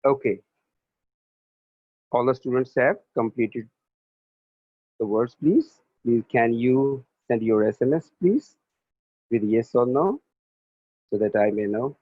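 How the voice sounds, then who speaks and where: Male teacher, unhurried and repetitive, dry tinny phone-like audio. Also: mid-range voice, no echo. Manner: repeats and spells out each answer. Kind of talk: slow remote class lesson, spelling words aloud.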